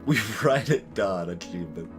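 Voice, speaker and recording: A man's voice: low-pitched, expressive, moderate pace, over music. A man giving casual gaming commentary as he plays and reads along. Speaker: let's player, video game streamer.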